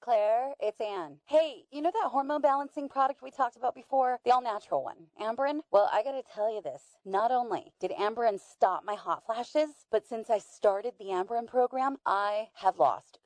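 A chatty middle-aged woman friend, played by an actress, leaves a gushing, confiding voicemail, her voice sitting a touch high. The sound is close and dry, with no room around it. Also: lively intonation, normal speed, hardly any pauses, a little mellow, no echo, close to an everyday voice. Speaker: ad actor. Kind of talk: scripted ad testimonial.

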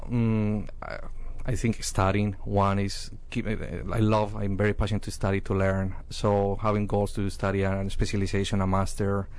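A man's voice: on the low side, completely flat delivery, normal speed, darkish tone. A middle-aged man with a Colombian accent, a native Spanish speaker, answering questions as a business owner in an interview. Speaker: interview guest, chief executive.

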